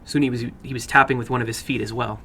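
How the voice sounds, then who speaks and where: A man's voice: mid-range voice, fairly even intonation, fast talker, pushed and bright, slight background noise. A man giving a dramatic scripted narration of horror fiction, as the host of a story broadcast.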